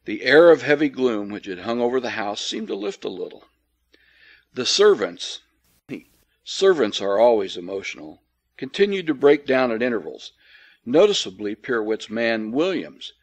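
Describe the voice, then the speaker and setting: A man narrating an old ghost story from a script in a measured, formal, dryly cynical storytelling manner. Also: medium pitch, sing-song. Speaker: audiobook reader.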